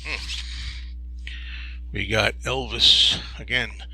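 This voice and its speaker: A man's voice: on the low side, steady tone, drawn-out words, creaky, sharp tone, husky, poor audio. A man chatting casually as he shows off a collection, rambling and musing aloud to himself as host. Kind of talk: casual show-and-tell chat.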